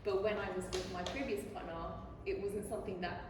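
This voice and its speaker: A British woman talks in a chatty, playful way, with laughter tucked into her phrases. She is picked up from a distance in a big gallery space with a long, ringing echo and clear background noise. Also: mid-range voice, fairly even intonation, fast talker. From Britain. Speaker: audience member asking a question. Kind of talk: casual anecdote and question. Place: large gallery room. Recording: echoey gallery hall from far off, noisy.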